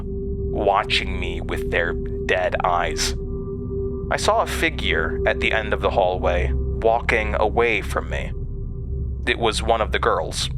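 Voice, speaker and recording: An actor playing a haunted, anxious man who narrates his past hesitantly in a horror audio drama. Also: fairly low pitch.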